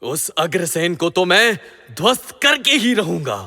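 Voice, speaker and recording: A wrathful king of the gods, high-pitched and declamatory. He delivers threats with slow, arrogant menace in a mythological audio drama, drenched in long hall reverb. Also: sing-song, pushed and bright, full character voice. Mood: furious, vengeful.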